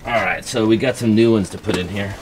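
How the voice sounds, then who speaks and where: A man's voice: fairly low pitch, fairly quick. A man, talking casually to a handheld camera while out fishing by creeks and lakes. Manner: relaxed, chatty, upbeat, with fillers. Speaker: fishing vlogger. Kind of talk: casual vlog talk to camera. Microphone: handheld action camera at arm's length. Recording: faint outdoor background noise.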